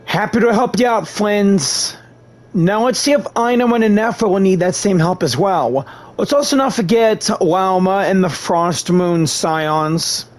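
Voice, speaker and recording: A man's voice: high voice, unhurried. A man giving casual gameplay commentary as a gaming host, chatting back to the characters on screen.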